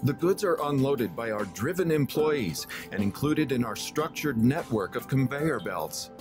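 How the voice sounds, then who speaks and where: A man's voice: medium pitch, very animated, unhurried, music in the background. A man giving polished scripted narration for a promotional company video.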